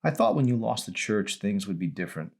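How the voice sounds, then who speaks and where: Wounded teenage girl, played by a male reader: raised pitch, emphatic, accusing, audiobook drama. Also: big pitch swings, fairly quick, gravelly, darkish tone, heavily acted. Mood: bitter. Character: angry, wounded teenage daughter confronting her father.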